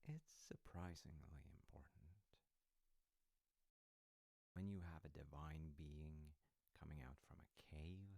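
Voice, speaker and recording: A man playing a gentle, affectionate dad who tells his child a myth in an intimate, reflective ASMR audio roleplay, with soft pauses. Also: rumbling low, steady tone, vocal fry, no room sound, exaggerated voice. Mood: tender, reflective. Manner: unhurried, reflective, with soft pauses and hushed moments.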